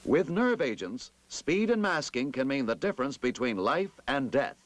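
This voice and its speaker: A man narrating a scripted 1960s military instructional film in a formal, emphatic, measured manner. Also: mid-range voice, very animated.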